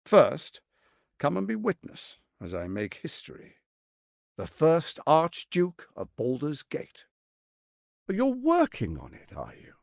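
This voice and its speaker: A man playing a smooth-tongued, condescending tyrant lord in a video game, with a pompous, theatrical delivery and deliberate emphasis. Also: a little high, huge pitch swings, dry recording, clean studio sound, exaggerated voice. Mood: grand, insinuating, then mocking, threatening.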